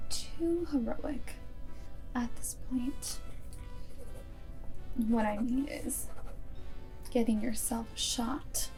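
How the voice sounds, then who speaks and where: A woman playing a guarded but secretly fond vampire girlfriend and wartime spy in a soft, whispered ASMR roleplay, speaking deliberately close to the microphone. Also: fairly high pitch, poor audio. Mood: reproachful, fond. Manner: hushed, deliberate, often dropping into whisper.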